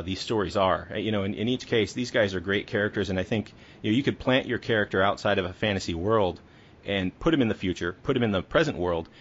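A slightly dull, lo-fi recording of a man with a fairly low voice. He is a podcast host and writer, putting questions to a guest in relaxed, informal conversation. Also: slight background noise. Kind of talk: casual podcast interview. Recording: dull lo-fi audio.

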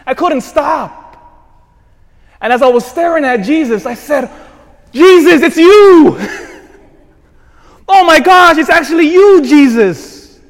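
Animated priest preaching in a reverberant church. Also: male voice, very high voice, slow pace, long pauses. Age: 40s. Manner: animated, swings from shouted emphasis to quiet asides. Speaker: parish priest. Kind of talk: sermon.

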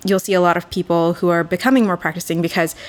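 A woman's voice: fairly low pitch, fairly even intonation, quick pace. A young woman from Boston, a podcast guest, talking in a thoughtful and earnest way. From Boston, USA. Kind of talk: casual podcast conversation.

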